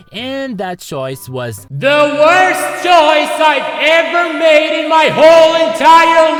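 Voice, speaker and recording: Brief: young guy, comic storytime rant, very lively pitch, faint music bed. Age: young adult. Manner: exasperated comic ranting, bursts into shouts. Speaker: animator narrating a storytime. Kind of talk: animated storytime narration.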